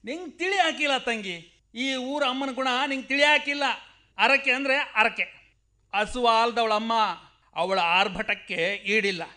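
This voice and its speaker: An actor in a radio play playing a stubborn, superstitious shepherd with a rural Karnataka accent, admonishing in emphatic, folksy speech. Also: male voice, very high voice, expressive, moderate pace, sharp tone, exaggerated voice. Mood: ominous warning. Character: stubborn superstitious rustic shepherd, fervent devotee of village goddess.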